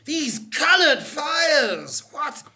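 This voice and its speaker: A man's voice: extremely high pitch, big pitch swings, very slow, bright, forceful tone, full character voice. A man playing a pompous, panicky adventurer in a live comic audio drama, exclaiming and stammering theatrically. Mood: bewildered indignation. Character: bombastic, panicky male explorer adventurer, prejudiced and melodramatic. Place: small theatre stage, live performance.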